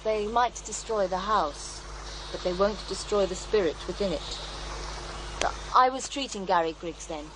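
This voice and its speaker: An actress in an audio drama playing a middle-aged commune healer, wry, earthy and matter-of-fact, gently teasing. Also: female voice, mid-range voice, close to an everyday voice. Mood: earnest, knowing. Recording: noisy outdoor ambience bed.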